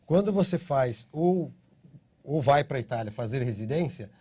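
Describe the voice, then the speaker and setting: Mellow husky Brazilian man explaining. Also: mid-range voice, moderate pace. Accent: Brazilian Portuguese. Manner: steady explaining, frequent tag questions. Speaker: interview guest, citizenship consultancy CEO. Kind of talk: explanatory interview answers.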